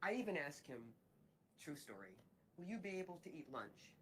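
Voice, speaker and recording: A woman recruiter with a fairly strong voice, telling a personal story in a reflective, halting way. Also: sits low, sing-song, slight background noise. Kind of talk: personal anecdote in a training video.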